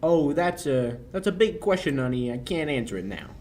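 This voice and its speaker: A young man playing a smooth-talking crook in a 1940s radio play, breezy and sardonic with menace underneath. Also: on the high side, big pitch swings, normal speed, darkish tone. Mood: evasive. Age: young adult.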